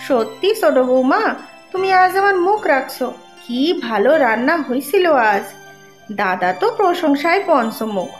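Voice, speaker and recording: Woman acting a high-pitched fussy East Bengali mother-in-law. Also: very animated, moderate pace. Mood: softening, pleased. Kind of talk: animated family cartoon dialogue. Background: soft background music.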